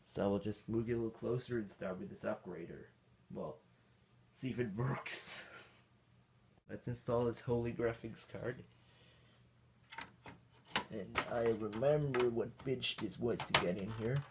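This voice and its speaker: A man's voice: on the low side, a little slow, pauses often, a little mellow, slight background noise. A man from Austria chatting casually as he tinkers with an old computer, rambling to himself and chuckling now and then. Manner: rambling, self-talk while tinkering, chuckles often. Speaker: vintage computer hobbyist. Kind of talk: casual hands-on tech vlog.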